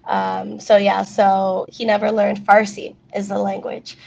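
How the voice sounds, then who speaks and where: Chatty woman with a gravelly voice. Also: medium pitch, flat delivery, darkish tone, dull audio, slight background noise. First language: Spanish. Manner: chatty, many fillers, quick laughs. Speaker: interview guest, athlete. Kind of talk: casual interview chat.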